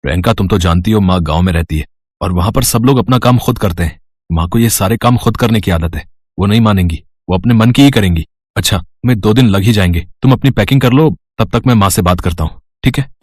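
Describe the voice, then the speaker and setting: A man voicing a calm, reasoning husband in a moral story cartoon, in a placating manner. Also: on the low side, brisk, no echo, clean studio sound, natural voice.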